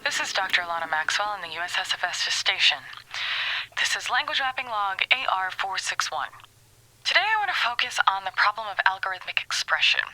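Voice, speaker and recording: A studious scientist recording a log in an audio drama. Her very bright, expressive voice is precise and formal, coming through a radio-like filter. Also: medium pitch, fairly quick, rough recording. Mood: businesslike.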